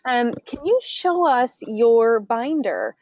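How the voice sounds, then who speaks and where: A woman's voice: fairly high pitch, very animated, slow pace, a little mellow, no room sound, highs cut off. An American woman hosting a webinar, guiding a demonstration and prompting her guest with encouraging questions. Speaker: webinar host. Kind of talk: interview and guided software demonstration.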